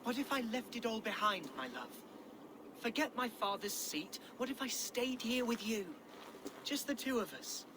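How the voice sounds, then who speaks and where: A man's voice: very high voice, big pitch swings, moderate pace, noisy background. An actor playing a young, tender-hearted Saxon nobleman in a game cutscene, earnest and courtly in manner. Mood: wistful. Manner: courtly, affectionate, florid. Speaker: video game supporting character. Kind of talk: dramatic game cutscene acting.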